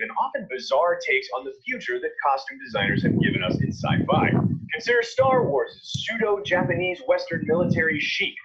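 Lively American male countdown narrator, higher-pitched, punchy and irreverent, on lo-fi audio. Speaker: film countdown video narrator.

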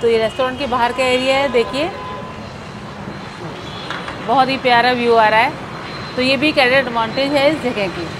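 A woman's voice: high-pitched, fairly even intonation, normal speed, long pauses, noisy background. A woman vlogger hosting a hotel tour, chatty and inviting, pointing things out to viewers. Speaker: travel vlogger host. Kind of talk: hotel tour vlog narration. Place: hotel interior and restaurant.

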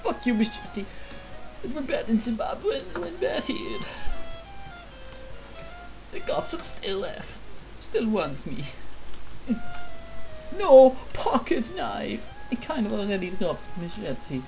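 A woman's voice: on the high side, sing-song, takes it slowly, vocal fry, long pauses, music in the background, low-quality recording. A woman playing a video game, chatting in a goofy, mock-dramatic way with her tools as if they were old friends. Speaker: gaming YouTuber. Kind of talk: comedic let's play commentary.

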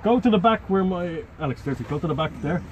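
A man in his thirties chatting and bantering casually for a vlog, jokey, sweary and excitable, recorded on a handheld device inside a van. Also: high-pitched, quick pace. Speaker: vlogger. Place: inside a parked camper van cab, door open. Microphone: phone or camera at arm's length. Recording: noisy handheld recording in a vehicle.

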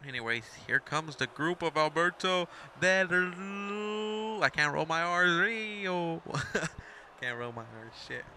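A man's voice: fairly high pitch, lively intonation, takes it slowly, sharp tone, a little hiss or hum. A young man of Mexican background giving rambling, casual play-by-play commentary over a wrestling video game, full of asides and self-corrections.

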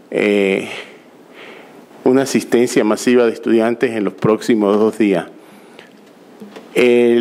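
Slow, halting man giving a welcome speech. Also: medium pitch, a little mellow. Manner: slow, halting, with restarts and self-corrections. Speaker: conference organizer. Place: auditorium, at a podium. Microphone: podium microphone.

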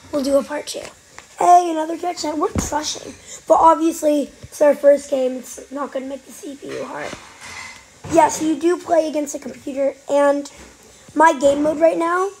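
High-voiced woman with a cold, gravelly edges, chatty game commentary, faint background noise. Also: poor audio. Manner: chatty, excitable, trailing off into whispers. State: has a cold. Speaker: gaming channel host. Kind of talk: casual gameplay commentary.

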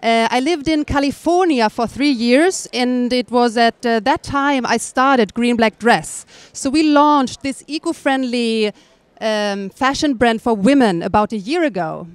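Smooth-voiced Swedish-born woman designer. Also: on the high side, a little slow, no room sound. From Sweden. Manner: soft-spoken, with hesitant fillers. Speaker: fashion designer. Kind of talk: press junket introduction. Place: hotel press room. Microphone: handheld mic.

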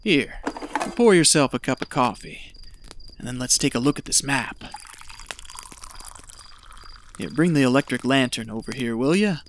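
An actor playing a greedy, callous treasure hunter in an audio drama, talking in a scheming, folksy, persuasive way. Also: male voice, medium pitch, animated, normal speed, slight background noise, lightly acted. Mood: brisk, bossy.

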